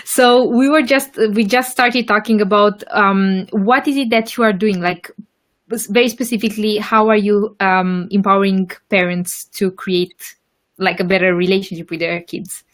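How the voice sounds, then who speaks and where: A woman's voice: mid-range voice, steady tone, normal speed, a bit bright. A young woman hosting a casual interview podcast, bubbly, laughing often and filling her speech with "like". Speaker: podcast host.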